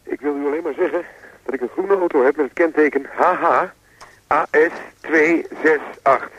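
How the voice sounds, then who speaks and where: A man's voice: on the high side, moderate pace, a bit bright, no echo. An actor playing a defiant young male caller in a radio drama, heard through a telephone effect. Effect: telephone filter. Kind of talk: Dutch radio drama acting. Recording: dull telephone-like sound, dry.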